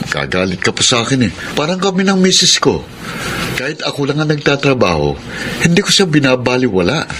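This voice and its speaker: An actor with a Filipino accent playing a frank, sensible married friend who questions and advises in a radio drama. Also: male voice, medium pitch, very animated, fairly quick, close to an everyday voice. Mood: advising, frank. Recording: noisy radio drama recording.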